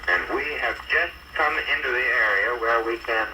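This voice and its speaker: A man's voice: medium pitch, normal speed, pushed and bright. An American man gives an eyewitness war report as a 1940s radio correspondent, on a noisy wartime field recording.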